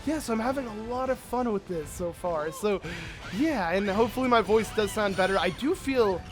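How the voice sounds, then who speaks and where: Let's play host, male, high-pitched and a little hoarse from illness, excitable and rambling, game music faint behind. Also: moderate pace. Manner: excitable, rambling with many fillers. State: sick, slightly hoarse voice. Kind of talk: casual gameplay commentary.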